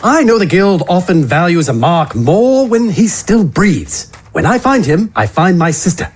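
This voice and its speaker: A man voicing an urbane, sardonic older gentleman with a theatrical, condescending manner in a fantasy audio story. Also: high voice, big pitch swings, a little slow, breathy edge, full character voice. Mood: cold, threatening. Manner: theatrical, condescending, says "old boy".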